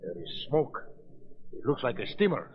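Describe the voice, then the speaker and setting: An actor playing an obedient, formal submarine first mate, clipped and deferential, in 1930s radio drama style. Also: male voice, mid-range voice, big pitch swings, moderate pace, close to an everyday voice. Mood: dutiful. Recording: tinny, noisy 1930s radio recording.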